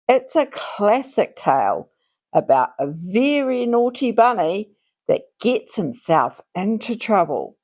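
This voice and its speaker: A woman host reading aloud to young children in an expressive, deliberate storytelling style. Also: medium pitch.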